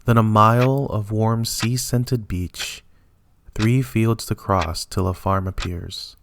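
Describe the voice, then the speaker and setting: A man reads classic love poems in a dramatic style. His voice sits fairly low and he takes the verse very slowly. There is distinct noise in the recording. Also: steady tone. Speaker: poetry reader. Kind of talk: slow dramatic reading of classic love poetry.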